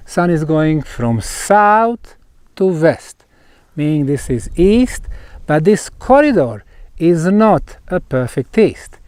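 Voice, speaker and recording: Slow-paced man guiding a group. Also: fairly high pitch, a little mellow, no echo. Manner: deliberate, emphatic phrasing with pauses. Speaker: researcher and tour guide. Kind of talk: guided site talk to a group. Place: outdoors at a megalithic stone site.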